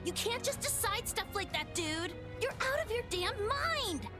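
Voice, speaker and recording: A woman's voice: extremely high pitch, big pitch swings, a little slow, music in the background, exaggerated voice. An actress playing a brash, blunt, outspoken young woman in a video game. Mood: outraged. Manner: blunt, slangy. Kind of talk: dubbed video game cutscene acting.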